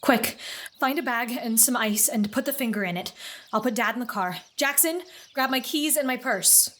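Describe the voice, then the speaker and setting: A woman's voice: fairly high pitch, normal speed, fairly bright tone, a little hiss or hum, lightly acted. A woman playing a no-nonsense, caring mother and wife in an audio drama. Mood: alarmed, urgent.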